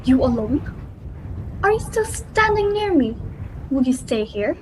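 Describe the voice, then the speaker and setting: A woman's voice: sits high, very animated, measured pace, noisy background. A woman playing a forlorn blind king in a dramatic reading of Greek tragedy. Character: blind, forlorn Oedipus clinging to faithful companion.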